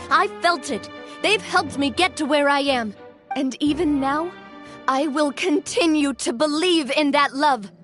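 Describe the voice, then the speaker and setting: A woman voicing a young female guild leader in a game cutscene, earnest and determined. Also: high voice. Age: young adult. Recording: game audio with loud music.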